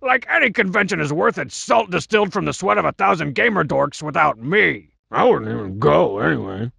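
A swaggering cartoon villain from a video game, juvenile and full of himself. The voice is heavily gravelly and forceful, swooping up and down as it brags and mocks in slangy tough-guy style. It comes across as broad, exaggerated comic acting. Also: on the high side, very animated, a little fast, dry recording, exaggerated voice. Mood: mocking, boastful. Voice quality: raspy, gravelly growl. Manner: bragging, mocking, slangy tough-guy delivery. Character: cocky, boastful cartoon wrestler-masked villain, juvenile troublemaker.

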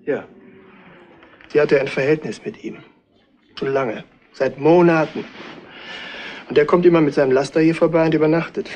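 An actor playing a distraught, jealous innkeeper in a retro radio crime play, speaking haltingly and repeating himself. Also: male voice, a little high, darkish tone, highs cut off, a little hiss or hum, close to an everyday voice. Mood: bitter. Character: distraught, jealous innkeeper husband of murdered wife.

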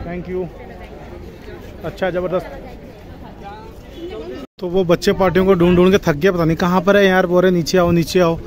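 A man's voice: sits high, flat delivery, moderate pace, pauses often. A young man in his twenties chatting casually as he walks and films himself on a phone held at arm's length in a busy outdoor market. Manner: chatty, walking while filming himself. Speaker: travel vlogger. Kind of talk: casual vlog chat. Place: busy outdoor town market and monastery grounds. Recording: outdoor phone audio with crowd noise. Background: crowd chatter and street noise.

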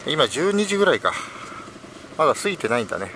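A man's voice: fairly high pitch, sing-song, fast talker. A middle-aged man chatting casually while riding a scooter at night, rambling and self-deprecating with lots of fillers. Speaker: delivery rider and shop owner vlogging. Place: outdoors on a scooter on a city road at night. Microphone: helmet or handlebar camera mic. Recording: loud engine and wind noise. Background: loud engine and traffic noise.

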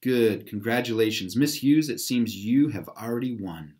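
Man reading as a playful, formal older gentleman. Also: medium pitch, normal speed, natural voice. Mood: congratulating.

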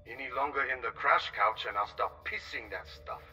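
Sharp, grumbling crewman over radio. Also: medium pitch, moderate pace, recorded from a distance, faint music behind. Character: grumbling, blunt spaceship crewman fond of explosives. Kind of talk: video game voice acting.

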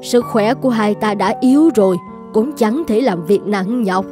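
A woman voicing a motherly older eatery owner in a cartoon, caring and gently insistent. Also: mid-range voice, moderate pace, music in the background, lightly acted. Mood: worried. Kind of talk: animated moral-tale dubbing.

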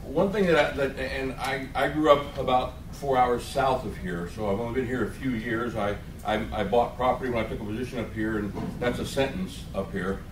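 A man's voice: medium pitch, fairly quick, hardly any pauses. Rambling man asking from the floor. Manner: rambling, self-deprecating, laughs while talking. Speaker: audience member. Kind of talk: question from the floor. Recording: distant in an echoey room with clear noise.

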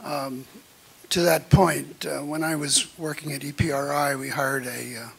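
Slow, gravelly older man. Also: on the high side, steady tone. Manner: halting, many fillers. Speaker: audience member. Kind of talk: audience question. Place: conference room. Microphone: floor-standing mic.

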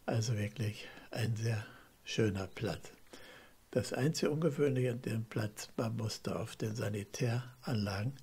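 A man's voice: lowish voice, unhurried, a little mellow, slightly rough. A man narrating a travel vlog in a plain, chatty, unpolished way. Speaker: camper van travel vlogger. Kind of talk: narrated travel video commentary. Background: music.

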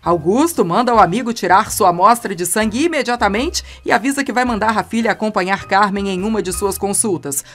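A woman narrating a scripted recap of soap opera episodes in a steady, even read-aloud manner. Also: medium pitch.

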